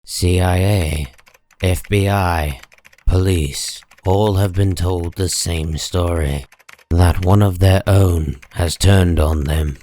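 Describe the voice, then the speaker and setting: A man reading dramatic comic-book narration in a grave, measured and deliberate manner. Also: low-pitched, mellow.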